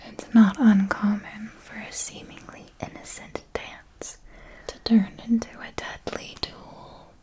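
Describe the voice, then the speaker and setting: A woman playing an elegant, seductive vampire mentor in an ASMR roleplay, speaking in a soft breathy whisper with formal, deliberate, intimate phrasing. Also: medium pitch, level tone, close to an everyday voice. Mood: hushed, alluring. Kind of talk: ASMR roleplay, scripted monologue.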